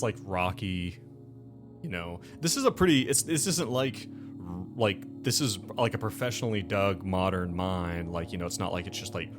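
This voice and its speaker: A man running a tabletop game, narrating off the cuff in a halting, conversational, explanatory way. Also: lowish voice. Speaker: game master. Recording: music behind the voice.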